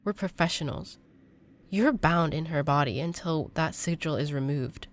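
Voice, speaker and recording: An actress playing an earnest young female exorcist in an audio drama, halting and emotional. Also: low-pitched, natural voice. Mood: defiant. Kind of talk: intimate audio drama acting.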